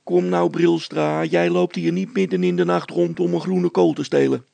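Man voicing a high-pitched cocky poacher boy. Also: flat delivery, normal speed, vocal fry, mellow, dry recording. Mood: boastful, confiding. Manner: cheeky, stubborn, boastful, colloquial.

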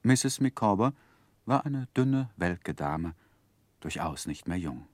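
Low voiced guy narrating his own boyhood, earnest, really varied intonation, old radio drama. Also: slow, no echo, full character voice. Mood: reflective, wry. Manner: earnest, literary, expressive. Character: grown man recalling his miserable boyhood, earnest, reflective. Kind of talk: scripted first-person narration in a 1960s German radio play.

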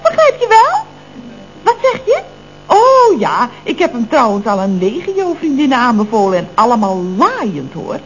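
An actress playing a chatty, exclamatory Dutch office secretary in the style of 1970s radio comedy. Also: female voice, on the high side, huge pitch swings, normal speed. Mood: gushing, gossipy. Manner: gossipy, exclamatory. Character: chatty, gushing office secretary, drily puzzled by her boss. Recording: dull, noisy old radio recording with hum.

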